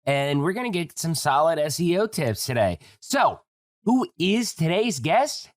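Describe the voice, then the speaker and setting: A man's voice: fairly high pitch, sing-song, a little slow, no room sound, studio quality. A man hosting a podcast interview, chatty and enthusiastic with a joking manner. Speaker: podcast host. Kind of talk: casual interview.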